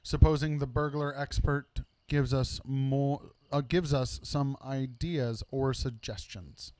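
A man's voice: medium pitch, steady tone, slow, a little mellow, no echo, close to an everyday voice. A man voicing a proud, self-important dwarf leader in a storybook, with a pompous, grandiose way of telling things. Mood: mock polite.

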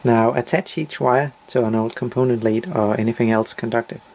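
A man's voice: medium pitch, steady tone, brisk, a little mellow. A man narrating a step-by-step DIY tutorial in short, simple, matter-of-fact instructions.

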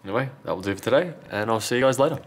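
A man's voice: mid-range voice, lively intonation, rapid-fire. A man giving casual, unscripted commentary as he works at an indoor repair bench, sometimes muttering to himself. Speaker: phone repair technician and video host. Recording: clear background noise.

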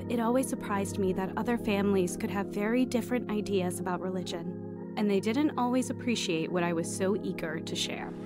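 A woman's voice: mid-range voice, natural voice. A woman playing an earnest, reflective young woman narrating her own childhood in a scripted audio drama.

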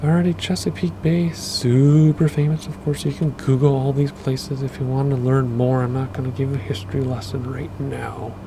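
A man, rambling casually through gameplay commentary with lots of fillers, his voice a bit hoarse from losing it. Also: mid-range voice, level tone, normal speed, hardly any pauses, background noise. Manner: rambling, chatty, with many fillers. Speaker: flight sim gaming host.

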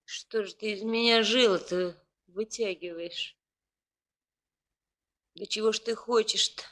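A woman's voice: mid-range voice, a little slow, fairly bright tone, natural voice. A woman playing a hurt, jealous young bride in a 1960s Soviet radio play, with emotional, colloquial outbursts. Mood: indignant, defiant.

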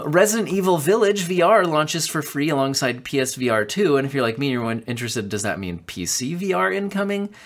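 A young man runs through PC gaming news from a small room at home, with a condenser mic close by. He talks casually with plenty of ums and uhs and rises into quick bursts of disbelief at the numbers. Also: mid-range voice, fairly bright tone. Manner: chatty, filler-heavy, quick bursts of disbelief. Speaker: tech news host. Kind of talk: casual news commentary reading from articles. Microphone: studio condenser mic off camera.

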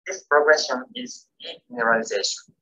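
A man whose first language is Japanese, reading a scripted academic lecture as keynote speaker in a careful, measured way. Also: medium pitch, bright, forceful tone, slightly rough, dull audio. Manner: careful, measured reading with non-native grammar.

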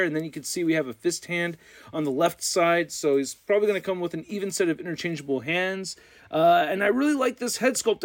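Excitable American man, higher-pitched voice. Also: no echo. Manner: excitable, chatty, slangy. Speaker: toy review channel host. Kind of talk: casual unscripted product commentary.

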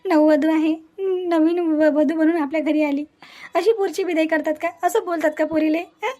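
A woman's voice: sits high, steady tone, moderate pace, mellow, close to an everyday voice. A woman voicing a worried middle-aged mother in a cartoon family melodrama, coaxing and plaintive, with a Vidarbha Marathi accent. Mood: pleading.

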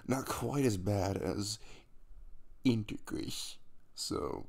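A man's voice: lowish voice, gravelly, full character voice. A man playing a caring young crush the morning after a kiss in an intimate ASMR roleplay, speaking casually and hesitantly, with a reassuring manner. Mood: sheepish, amused. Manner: hesitant, reassuring, with soft chuckles and trailing pauses.

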